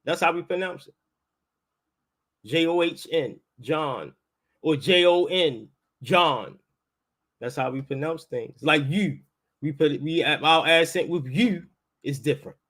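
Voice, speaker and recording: High-voiced Baltimore man, insistent and colloquial. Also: measured pace, long pauses, a bit bright. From Baltimore, United States. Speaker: host, linguistics teacher. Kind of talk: casual live talk with debate.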